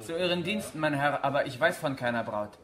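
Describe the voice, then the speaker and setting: An actor in a German radio drama playing a stiffly polite, wounded young cloth merchant. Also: male voice, on the high side, animated, fairly quick, fairly bright tone, slight background noise. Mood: guarded.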